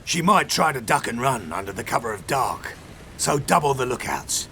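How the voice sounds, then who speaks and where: Pirate captain, wry and ruthless, sharp voice that swoops around, old sailor talk, audio drama. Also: male voice, medium pitch, sing-song, normal speed, creaky, slight background noise, exaggerated voice. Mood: menacing. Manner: archaic seafaring speech, commanding. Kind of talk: full-cast audio drama acting.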